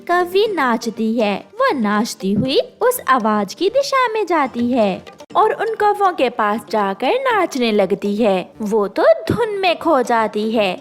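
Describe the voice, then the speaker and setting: A woman storyteller narrates a children's cartoon tale. Her voice is high, with lively rises and falls. She reads the script at a slow pace.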